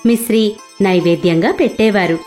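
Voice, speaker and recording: A woman's voice: medium pitch, normal speed, darkish tone. A woman storyteller reading a scripted devotional moral tale as narration. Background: background music.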